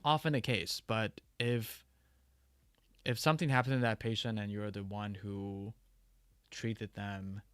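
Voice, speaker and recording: Gravelly-voiced man chatting on a livestream. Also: fairly low pitch, a bit bright, no room sound. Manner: halting, many restarts and fillers. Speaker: livestream host. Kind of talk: casual livestream chat answering viewer questions.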